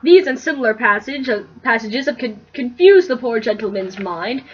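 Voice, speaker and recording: A young woman, giggly, excitable and playful, chatting casually and reading aloud in an amateur way. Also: fairly high pitch, moderate pace, breathy edge. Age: young adult. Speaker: co-host reading fan fiction aloud. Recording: muffled, noisy lo-fi home recording.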